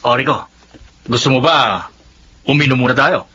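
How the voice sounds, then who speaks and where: Guy playing a slick pushy gambler friend, sharp forceful voice, filipino radio drama, dull noisy audio. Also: mid-range voice, moderate pace, close to an everyday voice. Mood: persuasive. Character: pushy, smooth-talking gambling buddy tempting his friend.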